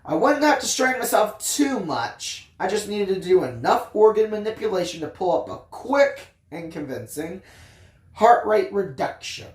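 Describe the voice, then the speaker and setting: A storyteller reading a first-person tale expressively, with theatrical emphasis and drawn-out phrases. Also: mid-range voice, very animated, echoey room. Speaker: audiobook reader, story time host.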